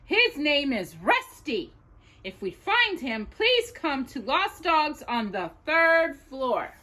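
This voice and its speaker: A woman voicing a formal department-store announcer from a picture book, in an emphatic, measured announcing manner. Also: sits high, big pitch swings, bright, forceful tone, voice pushed up, heavily acted. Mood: official.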